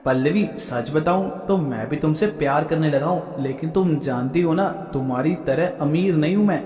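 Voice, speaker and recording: An actor playing a humble, earnest poor young man in an animated moral story. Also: a little high, moderate pace, close to an everyday voice. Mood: earnest, hesitant. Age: young adult. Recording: echoey hall-like reverb.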